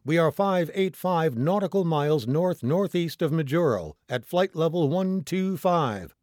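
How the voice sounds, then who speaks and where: A man's voice: a little high, expressive, unhurried, darkish tone, no echo, clean studio sound, voice pushed up. A man voicing a desperate, panic-stricken castaway pilot in an audiobook, crying out urgently and emphatically. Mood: urgent distress.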